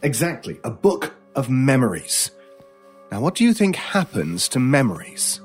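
An actor playing an enigmatic, commanding stranger in a horror audio drama, speaking in a measured, probing, authoritative way over music. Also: male voice, mid-range voice, big pitch swings, husky. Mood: lecturing. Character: enigmatic, commanding male stranger who lectures on mysteries.

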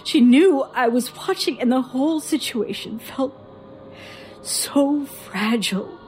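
A haunted woman, played by an actress, recalls childhood terrors in a horror audio drama. She speaks very slowly and haltingly, with an uneasy, suspenseful hush and faint music underneath. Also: medium pitch, close to an everyday voice. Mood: distressed, uneasy. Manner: slow, halting, hushed suspense. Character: haunted woman recounting frightening childhood memories to a doctor.

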